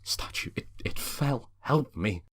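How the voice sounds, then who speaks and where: Man acting an injured, feeble sculptor, halting. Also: mid-range voice, expressive, a bit bright, breathy edge, voice pushed up, full character voice. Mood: pained, pleading. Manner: halting, broken phrases. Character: injured male metal sculptor, feeble and dazed.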